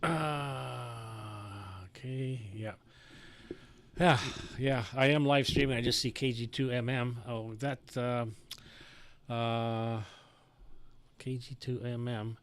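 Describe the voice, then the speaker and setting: A man hosting a casual amateur radio chat, talking technical shop in a halting, rambling way into a close studio microphone. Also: mid-range voice, fairly even intonation. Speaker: amateur radio operator and live-stream host. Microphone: close studio broadcast microphone.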